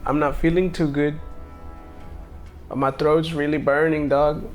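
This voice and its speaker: A man's voice: fairly high pitch, little pitch movement, unhurried, faint music behind. A man on a ghost hunt, joking playfully and complaining as if sick.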